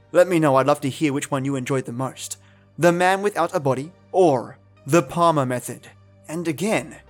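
A man hosting a podcast, wrapping up the episode in a chatty, easygoing way and laughing easily. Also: a little high. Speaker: podcast host. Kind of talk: casual podcast wrap-up.

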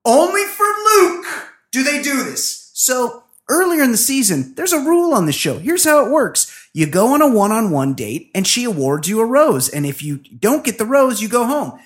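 A man co-hosting a podcast, chatting about a reality dating show in a sarcastic, profane and animated way. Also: very high-pitched, moderate pace, few pauses. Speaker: podcast co-host. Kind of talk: casual recap chat.

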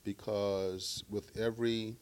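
A middle-aged man from Baltimore, Maryland, who stutters, speaking in a deliberate, measured way at a live talk. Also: lowish voice, level tone, a little mellow, a little hiss or hum. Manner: deliberate, measured speech using stuttering techniques. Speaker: speaker sharing his story.

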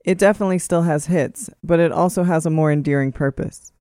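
A narrator reading a scripted album review in a measured, written style. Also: medium pitch, mellow, no room sound, studio quality.

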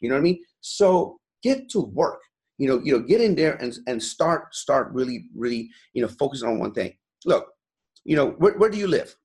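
A man's voice: medium pitch, big pitch swings, moderate pace, a little mellow. Blunt, fired-up man in his forties. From Iran. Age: 40s. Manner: blunt, profane, fired-up, repeats "you know" a lot. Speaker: guest, gym owner. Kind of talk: motivational interview talk.

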